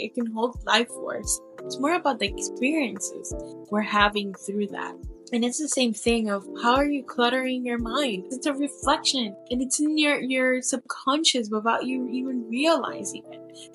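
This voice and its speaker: A woman's voice: on the high side, rough recording. A woman podcast host, talking in a casual, searching way, often restarting her sentences. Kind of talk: casual interview, reflective intro.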